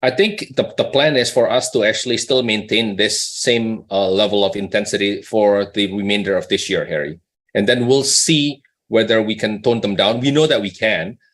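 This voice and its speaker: A man's voice: fairly low pitch, normal speed, a bit bright. A man speaking as a company executive on an earnings call, explaining in a conversational way with rhetorical questions and check-ins with the listener.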